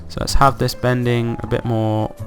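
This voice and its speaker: A man with a croaky voice from being ill, hosting casual gameplay commentary and thinking aloud in an upbeat, rambling way. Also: medium pitch, level tone, normal speed, music in the background. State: croaky from illness. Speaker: let's play host.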